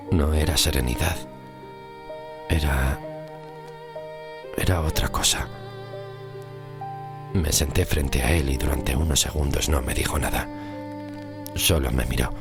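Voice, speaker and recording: A man narrating a scripted horror story in the first person, in a deliberate, hushed delivery with dramatic pauses. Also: a bass voice, gravelly. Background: music under the voice.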